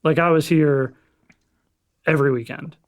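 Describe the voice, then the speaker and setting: A young man from Florida, a firefighter paramedic, chatting casually as a podcast guest in a relaxed, rambling way with casual swearing. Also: on the high side, moderate pace. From Florida, USA. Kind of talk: casual chat.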